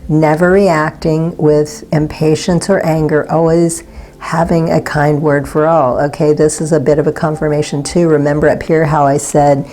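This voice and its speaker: Low, mellow woman reading tarot. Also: little pitch movement. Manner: soft, unhurried and gently reassuring, pausing to search for words. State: slightly raspy from not talking all day. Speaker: tarot card reader. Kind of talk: pick-a-card tarot reading.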